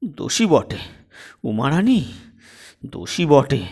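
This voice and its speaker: Impatient young husband, played by a male reader, pleading and reproachful, high voice with animated swings, comic audio drama. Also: takes it slowly, slightly rough, exaggerated voice. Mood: sulky, reproachful.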